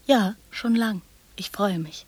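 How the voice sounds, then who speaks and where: An actress playing a naive, anxious, dreamy little girl in a radio drama, speaking slowly and plaintively with a breathy voice. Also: mid-range voice, darkish tone, no room sound. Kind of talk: poetic radio drama acting.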